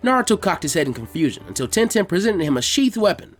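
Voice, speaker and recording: A man reading fan fiction aloud as an expressive scripted story narration. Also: sits high, quick pace, soft background music.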